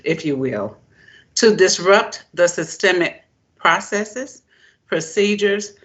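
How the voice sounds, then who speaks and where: A woman giving a formal prepared keynote speech as a presenter, in deliberate, emphatic, measured phrases. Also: medium pitch, a bit bright, dull audio. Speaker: presenter, education keynote speaker.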